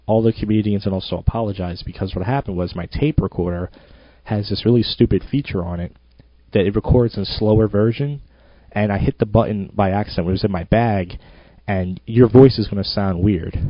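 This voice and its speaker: A man's voice: on the low side, a little fast, no echo. A man hosting his own podcast, rambling and self-mocking in a loose monologue. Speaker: podcast host. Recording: dull lo-fi home recording.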